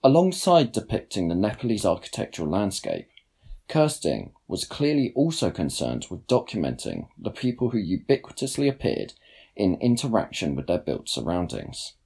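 A young man reading a scripted essay aloud in a measured, formal manner. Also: fairly low pitch, very animated, gravelly, mellow, husky. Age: young adult. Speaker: student writer reading own blog post. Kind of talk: scripted narration, read aloud.

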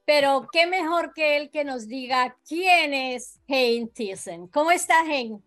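High-voiced woman hosting. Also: animated, slow pace, faint music behind. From Colombia. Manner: warm, effusive greetings. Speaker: host. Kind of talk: online talk show hosting.

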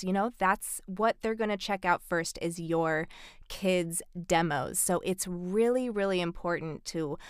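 Clear-voiced woman podcast host, close and dry. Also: on the low side, fairly bright tone, no echo. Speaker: podcast co-host, voice acting professional. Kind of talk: conversational podcast explanation.

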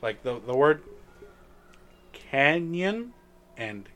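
A man chatting casually with friends, animated and profane, with Spanish as his first language. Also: on the high side, extremely slow. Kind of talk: casual chat among friends.

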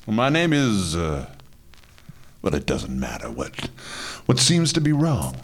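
An actor playing a suave, mysterious intruder in a suspense drama, politely menacing, with a halting, mocking manner. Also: male voice, fairly low pitch, wildly swooping pitch, exaggerated voice. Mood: suave, coaxing. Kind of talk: old-time suspense drama acting.

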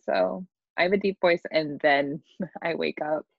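A woman co-hosting a podcast over a video call, with a deep chesty voice, teasing her co-host and giggling. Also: a little slow, creaky, no echo, dull audio.

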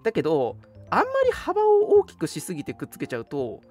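A radio host chatting casually and telling stories in an animated, emphatic, colloquial way. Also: mid-range voice, fast talker. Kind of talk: casual chat, storytelling. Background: background music.

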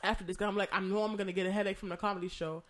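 Hoarse, swearing storyteller with a bright tone. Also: medium pitch, steady tone, very fast. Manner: profane, rambling storytelling. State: hoarse, losing voice from a cough. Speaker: podcast co-host. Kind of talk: casual chat.

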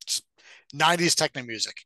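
A man's voice: on the high side, expressive, fairly quick, bright, forceful tone, a little hiss or hum. A man co-hosting a podcast in casual, opinionated chat about entertainment, blunt and given to casual swearing. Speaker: podcast co-host.

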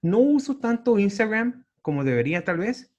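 Mexican guy in his 40s hosting a podcast, kinda high voice, talks slangy and casual. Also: sing-song, moderate pace, a little mellow, highs cut off. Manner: informal, slangy, swears freely. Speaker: podcast host. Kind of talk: podcast introduction and casual interview chat.

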